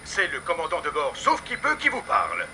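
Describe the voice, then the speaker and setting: Un comédien qui double un commandant de bord de dessin animé, excentrique et excité, faisant une annonce en cabine. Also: male voice, a little high, fairly quick, heavy background noise. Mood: excited announcing. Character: excitable, eccentric male airline captain in cartoon. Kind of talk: children's cartoon dubbing.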